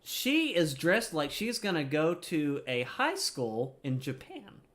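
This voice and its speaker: A man's voice: a little high, very animated, slow, a bit bright. A man giving casual, playful gameplay commentary, tossing in silly interjections as he goes. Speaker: streamer.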